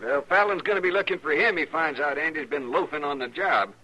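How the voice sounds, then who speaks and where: An actor playing a snide, needling American office clerk in a western radio drama. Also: male voice, a little high, fairly quick, pushed and bright, slight background noise, rough recording. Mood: guarded, grudging. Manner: needling, insolent. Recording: old radio recording.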